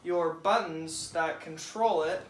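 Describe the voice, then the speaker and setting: A teenage boy hosting a casual unboxing video in a living room, slowly talking through each step as he assembles a toy. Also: on the high side, a little hiss or hum. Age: teens. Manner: slow, halting, describes each step aloud. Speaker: unboxing host. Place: living room, seated at a table. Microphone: camera across the table. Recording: roomy, camera some distance off.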